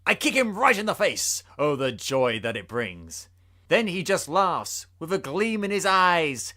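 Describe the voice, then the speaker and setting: A man's voice: fairly high pitch, sing-song, unhurried, pushed and bright. A man giving a theatrical, suspenseful dramatic reading of a rhyming story. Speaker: podcast host.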